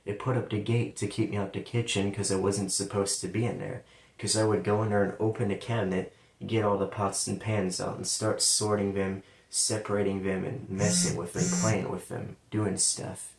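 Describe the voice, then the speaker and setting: A man's voice: lowish voice, little pitch movement, normal speed, creaky. Man, central Ohio accent, rambling personal story, L slipping toward W, echoey room. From London, Ohio, USA. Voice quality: sometimes turns L into a W sound. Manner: casual, rambling, digressive. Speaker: vlogger telling his life story. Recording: roomy, distant from the mic.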